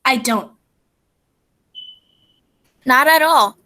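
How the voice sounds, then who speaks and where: A female reader plays a polite society lady on a visit, eager to please. The voice is fairly high, with small hesitations as the script is read aloud. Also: very slow, sharp tone, a little hiss or hum, exaggerated voice.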